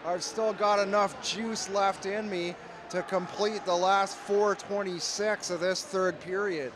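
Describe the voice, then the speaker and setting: A man with a cold, hoarse and losing his voice, calling ice hockey play-by-play in a steady, measured way from an arena. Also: sits high, noisy background. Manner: steady, measured call that climbs to shouting on goals. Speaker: play-by-play commentator.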